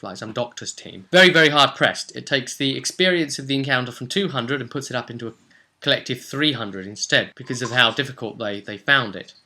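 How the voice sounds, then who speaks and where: A man hosting as game master, casually recounting a role-playing session with emphatic, animated storytelling. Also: mid-range voice. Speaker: game master and vlog host.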